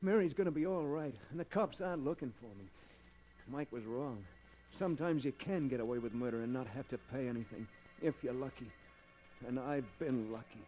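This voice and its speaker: An actor playing a desperate, guilt-ridden American crook in melodramatic, slangy 1940s radio style. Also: male voice, medium pitch, brisk, mellow, faint music behind, natural voice. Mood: relieved, brooding. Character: desperate, guilt-ridden locksmith turned criminal. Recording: noisy vintage 1940s radio recording.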